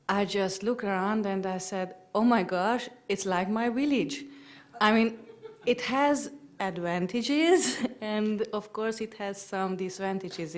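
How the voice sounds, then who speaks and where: A woman from Hungary with a Hungarian accent, a visiting writer chatting about her stay and reading a poem aloud. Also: fairly low pitch. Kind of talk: poetry reading. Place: public library event room.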